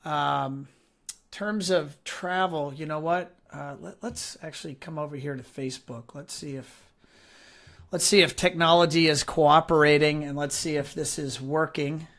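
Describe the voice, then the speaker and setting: A man hosting a live-streamed interview show, chatty and upbeat with many fillers, his voice raspy and hoarse from allergies. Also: fairly high pitch, fairly even intonation, normal speed, fairly bright tone. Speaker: host.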